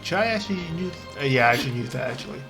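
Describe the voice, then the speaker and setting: A man talks casually on a game stream at a middling pitch, with music playing behind him. His delivery rambles and halts, circling back to repeat phrases as he goes. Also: expressive. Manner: rambling, halting, repeats himself. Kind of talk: casual livestream chat.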